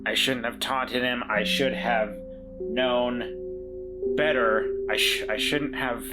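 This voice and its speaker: A man's voice: medium pitch, fairly even intonation, slow pace, loud music behind the voice. A man playing a tabletop game, talking earnestly in character and stumbling over restarts. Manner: earnest, self-blaming, stammering restarts. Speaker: player.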